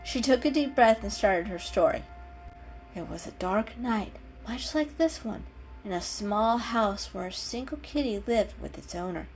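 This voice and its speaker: Woman reading a kids story dramatically, highish voice, faint music behind her. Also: animated, measured pace. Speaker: narrator.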